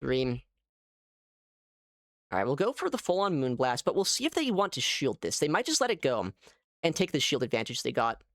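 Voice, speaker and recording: A man giving casual live gameplay commentary as a streamer, thinking aloud in an animated way. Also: medium pitch, normal speed, long pauses, no room sound, studio quality. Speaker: gaming streamer.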